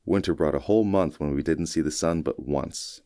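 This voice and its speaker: A man reading a first-person short story as an audiobook narrator, in a measured, folksy storytelling manner. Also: a bass voice, dark tone, dry recording, clean studio sound.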